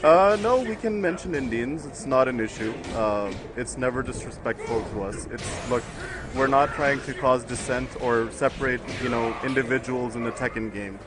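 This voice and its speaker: A man's voice: mid-range voice, normal speed, background noise. A young man from the United States chatting loosely as a guest commentator on a fighting game stream, with lots of fillers and frequent laughs. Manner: rambling, laughs often, many fillers. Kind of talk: casual chat with stream chat.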